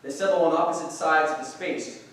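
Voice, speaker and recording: A man narrates stage directions from a script. His voice is fairly high and sounds distant, in a very echoey room with faint noise. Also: steady tone, brisk, a bit bright.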